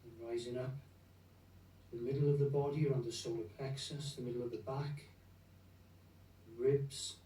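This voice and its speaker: A man leading a guided meditation in a gentle, unhurried, soothing manner, leaving long pauses between phrases. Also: medium pitch, fairly even intonation. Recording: roomy echo, distant from the mic.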